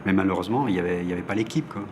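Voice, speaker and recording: Deep-voiced casual man, noisy background. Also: rapid-fire. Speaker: interviewee, animator. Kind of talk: casual recollections in an interview. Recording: noisy ambience behind voice.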